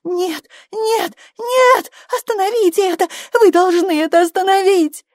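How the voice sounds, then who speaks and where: A woman's voice: very high voice, moderate pace, darkish tone, no room sound, clean studio sound, voice pushed up. A woman voicing a hysterical young woman in labour, screaming and pleading desperately in an audiobook. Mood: hysterical terror. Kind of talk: audiobook character acting.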